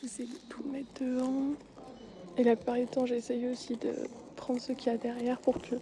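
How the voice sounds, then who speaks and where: A young woman speaking softly and often whispering while tidying shelves in a supermarket aisle, with frequent hesitations. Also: fairly high pitch, level tone, normal speed, noisy background. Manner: soft-spoken, drifting into whispers, many hesitations. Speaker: ASMR creator. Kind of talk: soft-spoken ASMR while tidying shelves. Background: faint store ambience.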